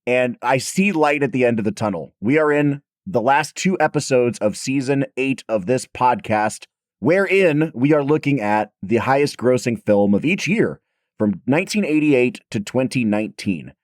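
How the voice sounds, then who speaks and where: Hoarse, raspy-voiced podcast host man. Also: mid-range voice, lively intonation, moderate pace, no echo, clean studio sound. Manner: chatty, self-deprecating storyteller. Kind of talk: casual podcast chat.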